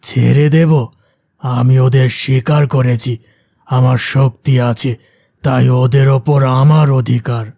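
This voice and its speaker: A gravelly cartoon demon, dark in tone and recorded very dry. He is a boastful forest tyrant who taunts and threatens smaller creatures. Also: medium pitch, fairly even intonation, a little slow, no room sound. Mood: scornful. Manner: taunting, domineering. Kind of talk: cartoon character acting.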